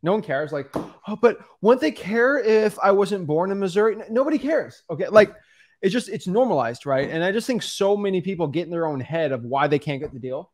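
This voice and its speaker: A man's voice: high-pitched, animated, fairly quick. A young man co-hosting a casual studio podcast, talking loosely with lots of fillers and addressing listeners as guys. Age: young adult. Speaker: co-host. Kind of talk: casual podcast coaching talk. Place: studio with backdrop. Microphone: studio mic on boom arm.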